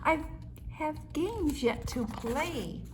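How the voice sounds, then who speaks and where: A woman's voice: high voice, animated, extremely slow, background noise, voice pushed up, heavily acted. A woman voicing a vain, bossy young female butterfly in a children's storybook, exclaiming with scornful impatience. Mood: panicked, indignant.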